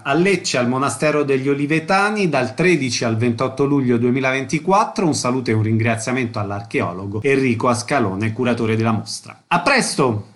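A man's voice: mid-range voice, fairly bright tone. A man hosting a radio programme, asking short questions and announcing in a concise, orderly, scripted way. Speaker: radio host and interviewer.

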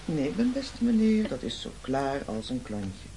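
An actor playing a pompous, scheming castaway boss in an absurdist comic radio play, speaking in grand, declamatory rhetoric. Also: male voice, mid-range voice, very animated, unhurried, dark tone, a little hiss or hum, rough recording. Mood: accusing, rhetorical.

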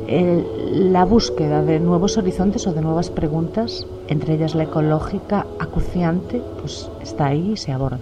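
Low-voiced woman, measured answers. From Galicia. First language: Spanish. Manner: measured, reflective, many "eh" pauses. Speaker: novelist, interviewee. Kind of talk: thoughtful interview answers.

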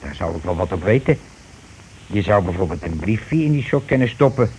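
An actor playing a scheming, money-grabbing husband and landlord in a radio play, talking in wheedling, self-justifying colloquial patter. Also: male voice, low-pitched, animated, a little fast, darkish tone. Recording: noisy old radio drama recording.

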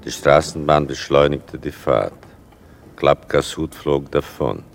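An older man narrating a literary radio play in a gravelly, dry, measured and ironic way. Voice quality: deep, gravelly.

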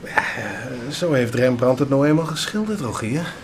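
A man's voice: medium pitch, lively intonation, moderate pace, background noise, close to an everyday voice. A man playing a patient father who explains things to his young son in a radio drama. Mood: mildly puzzled.

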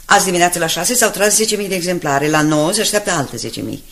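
An actress playing an efficient female secretary in a Romanian radio drama, speaking in a crisp, dutiful manner. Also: on the low side, a bit bright. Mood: matter-of-fact. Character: Miss Moffat, efficient female secretary to a director.

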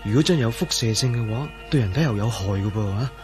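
An actor in a radio drama playing a troubled, excitable man who tells of his ordeal in Hong Kong Cantonese, with sighs and hesitation. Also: lowish voice, fast talker, loud music behind the voice, close to an everyday voice. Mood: amazed, wary. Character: troubled man named Ah-Yin, turned invisible, recounting his ordeal.

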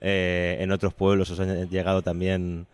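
Deep-voiced, halting man interviewing. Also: monotone, clean tone. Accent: Spanish from Spain. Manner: halting, searching for words, repeating himself. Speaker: radio interviewer. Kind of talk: conversational interview.

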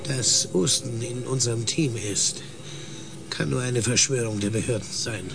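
A man's voice: medium pitch, unhurried. An actor playing a bitter, suspicious male space crew member in a radio drama, resentful in manner. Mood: bitter suspicion. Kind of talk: German radio drama acting. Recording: music clearly underneath.

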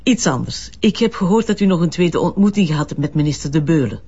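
A woman's voice: low voice, animated, fast, highs cut off, background noise. A woman playing a formal, authoritative investigating magistrate in a crime drama, curt and cool. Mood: cool, probing. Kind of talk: Flemish crime drama acting.

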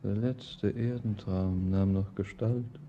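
A man's voice: lowish voice, steady tone, slow, dark tone. An actor playing a yearning, world-weary man bidding farewell to life in a solemn, declamatory verse monologue for German radio drama. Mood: solemn longing. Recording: muffled old radio recording, faint noise.

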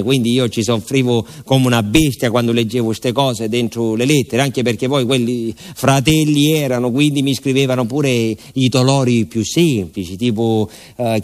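A man's voice: medium pitch, natural voice. Folksy southern Italian village postman played by a man. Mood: wistful, confiding. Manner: folksy, confiding, with hesitations and repetitions. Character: naive, talkative village postman from southern Italy, wistful storyteller. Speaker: actor in a monologue. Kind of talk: theatrical radio monologue in colloquial storytelling.

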